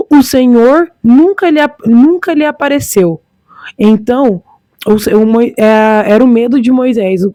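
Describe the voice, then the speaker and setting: Brazilian female preacher, fairly high voice, informal and exhortative, leaning on repetition, very dry sound. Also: a little mellow, no echo. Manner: exhortative, repeats phrases for emphasis, rhetorical questions. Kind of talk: informal sermon with scripture reading.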